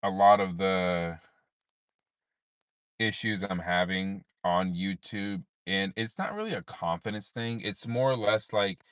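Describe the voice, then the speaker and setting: A tired man with a deep voice, rambling in a laid-back way with many fillers while livestreaming from his parked car at night. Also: a little slow, fairly bright tone, smooth, no echo, clean studio sound. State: tired, unable to sleep. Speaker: streamer. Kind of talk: late-night livestream chat.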